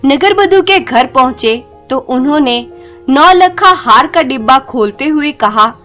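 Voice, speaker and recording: A woman narrating a scripted folk tale as a storyteller, in an expressive, measured way. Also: high voice, faint music behind.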